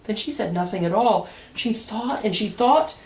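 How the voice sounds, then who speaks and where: A woman reading a children's picture book aloud expressively, with deliberate dramatic pauses and emphasis. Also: mid-range voice, lively intonation, a little mellow. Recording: echoey room, distant microphone.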